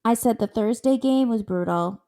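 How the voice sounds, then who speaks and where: A woman co-hosting a podcast, giving blunt, giggly takes in casual chat with a high voice. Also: moderate pace, mellow. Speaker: podcast co-host.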